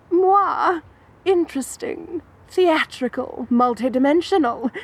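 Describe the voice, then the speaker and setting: A woman's voice: on the high side, full character voice. A woman playing a giggly obsessive supervillainess. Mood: theatrical. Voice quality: dramatic, giggly, sing-song. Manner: theatrical, swings between coy and menacing. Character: obsessive theatrical female supervillain, young adult. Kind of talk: audio roleplay acting.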